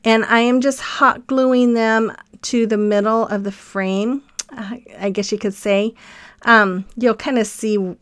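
A woman host casually narrating her craft project in a relaxed, chatty voice-over with frequent ums. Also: mid-range voice, smooth, no echo.

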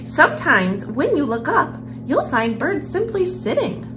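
A young woman hosting a children's story time, reading a picture book aloud in an expressive storyteller's manner. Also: sits high, a little slow. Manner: expressive, animated storyteller, with hushed passages. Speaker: host of a children's read-aloud series. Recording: music behind the voice. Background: background music.

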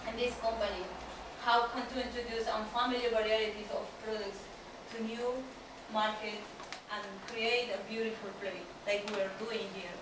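Echoey, distant young Peruvian woman, halting English. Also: a little high, steady tone. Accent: Peruvian Spanish. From Peru. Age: young adult. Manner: halting, searching for words in non-native English. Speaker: exchange trainee giving a talk. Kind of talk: informal presentation to colleagues. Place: small meeting room with seated audience. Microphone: far camera microphone. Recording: distant, very echoey room, clear background noise.

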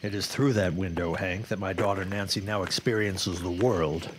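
A man's voice: low voice, fairly even intonation, moderate pace, a little hiss or hum, close to an everyday voice. An actor playing a wary, grave 1910s newsman in a radio drama, speaking in formal, old-fashioned phrases. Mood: sombre. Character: grim, wary 1910s newsman and worried father. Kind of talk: period radio drama acting.

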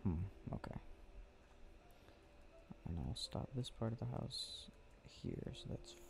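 A man speaking softly and drowsily in an ASMR boyfriend roleplay, murmuring with unhurried pauses. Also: mid-range voice, vocal fry, background noise. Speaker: ASMR roleplay audio creator. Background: game clicking sounds.

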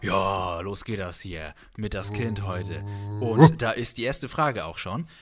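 A man's voice: fairly low pitch, takes it slowly, over loud music. A man in his thirties chats casually as an interview host in a jokey, informal way, talking into a handheld microphone. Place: small music room indoors.

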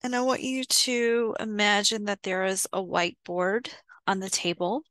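A woman leading a guided visualization in a soothing, instructional way, pausing between short phrases. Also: mid-range voice, steady tone, fairly bright tone, dry recording. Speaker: guided meditation host. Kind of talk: guided visualization, meditation narration.

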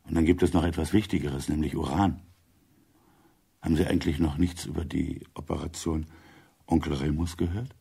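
An actor playing an urbane, authoritative intelligence chief in a German radio drama, speaking in a measured, deliberate way. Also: male voice, rumbling low, steady tone, gravelly, warm tone, husky, exaggerated voice. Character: senior intelligence chief, urbane, matter-of-fact, authoritative older man.

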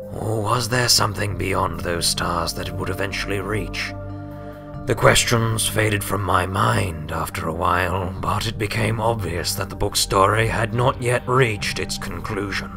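A man's voice: lowish voice, steady tone, moderate pace, vocal fry. A man reading a scripted story as an audiobook narrator. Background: music.

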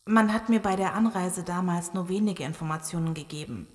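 An actress playing an earnest, serious virologist surviving a zombie apocalypse in an audio drama. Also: female voice, lowish voice, a little fast, a little mellow, lightly acted. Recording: long cavernous reverb.

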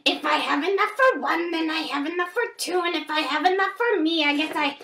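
A very high, bright dinosaur puppet for a children's show. The creature is polite, generous and flustered, hesitating theatrically. The sound is roomy. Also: female voice, brisk, exaggerated voice. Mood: obliging, flustered. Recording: roomy home recording with background noise.

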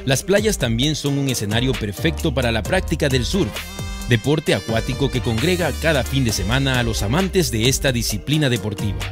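A man's voice: mid-range voice, expressive, a little fast. A man narrating a promotional tourism segment in an upbeat, inviting announcer manner. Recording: voice-over with clear background music.

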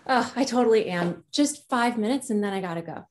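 A woman's voice: on the high side, animated, a little fast, darkish tone, a little hiss or hum, close to an everyday voice. An actress playing an eager young woman in love, talking to her therapist over a video call. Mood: excited. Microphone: video call webcam.

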